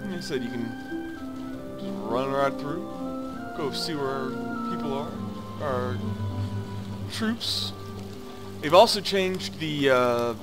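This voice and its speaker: A man's voice: mid-range voice, animated, slow, pauses often. A man giving a casual, improvised game walkthrough as host of a gameplay video. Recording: game music loud under commentary. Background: loud game music.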